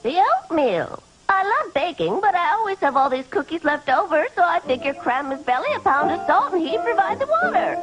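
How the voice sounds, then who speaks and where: A woman's voice: extremely high pitch, very animated, a little fast, few pauses, full character voice, low-quality recording. A woman voicing a spry, crafty old cartoon grandmother with a folksy, chatty manner. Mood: folksy, pleased. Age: older. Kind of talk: animated fairy-tale voice acting. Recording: VHS cartoon soundtrack with loud music.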